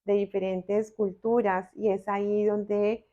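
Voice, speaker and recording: A middle-aged woman with a Colombian accent, talking reflectively and deliberately, with pauses, as a podcast guest. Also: medium pitch, level tone, warm tone, lo-fi sound. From Bogotá, Colombia. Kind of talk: reflective personal interview talk.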